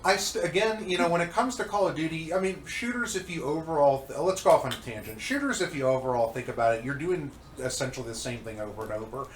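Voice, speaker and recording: A man's voice: a little high, expressive, fast, few pauses, roomy sound, a little hiss or hum. A congested man rambling casually about old games, with many fillers and restarts. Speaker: co-host reviewing old magazines. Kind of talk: casual chat.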